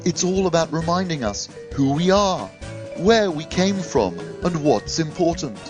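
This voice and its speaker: An earnest, curious magical scarecrow from a children's storybook, played by a man, speaks in a lifted, lilting voice. He is polite and full of wonder, with very expressive swings of pitch. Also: measured pace, over music. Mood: tender, realising.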